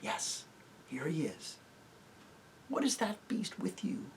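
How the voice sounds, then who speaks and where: A reader voicing a watchful male unicorn who speaks quietly and sharply. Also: medium pitch, sing-song, a little slow, slight background noise. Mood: wary.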